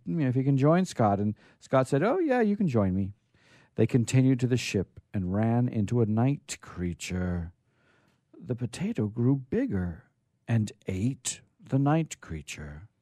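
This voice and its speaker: A man hosting a radio show, reading stories aloud in an informal, halting but theatrical way. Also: mid-range voice, mellow, dry recording, studio quality. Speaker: radio show host and storyteller.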